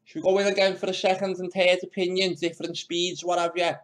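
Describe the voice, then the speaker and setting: A man's voice: sits high, flat delivery, quick pace. A man hosting a video, muttering to himself while he fiddles with clips, with mocking and swearing asides. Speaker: video host reacting to news clips. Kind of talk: casual muttered commentary.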